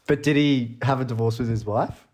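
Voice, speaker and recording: A man's voice: mid-range voice, brisk, darkish tone. Playful, laughing young man. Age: young adult. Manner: laughing, playful, tells stories and swears. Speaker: podcast co-host. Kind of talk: casual chat between friends.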